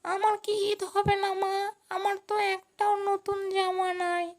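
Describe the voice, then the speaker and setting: A performer voicing a poor, earnest little boy in a children's moral story, speaking in a slow, pleading, innocent way. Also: very high-pitched, little pitch movement. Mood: wistful, pleading.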